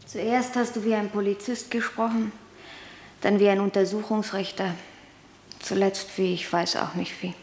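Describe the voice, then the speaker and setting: An actress playing a composed, defiant young adult daughter in a radio drama, speaking in a measured, firm way. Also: female voice, mid-range voice, level tone, darkish tone, slight background noise, close to an everyday voice. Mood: composed, reproachful. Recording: echoey room with long reverb.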